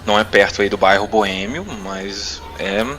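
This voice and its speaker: A man's voice: fairly low pitch, completely flat delivery, normal speed, fairly bright tone. A Brazilian man narrating a tabletop role-playing game as game master and podcast host, with music behind him.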